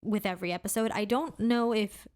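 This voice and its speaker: A woman's voice: medium pitch, fairly even intonation, fairly quick, a little mellow. A woman co-hosting a podcast in casual chat, talking eagerly with lots of filler words. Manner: enthusiastic, heavy use of "like" and "really cool". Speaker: podcast co-host.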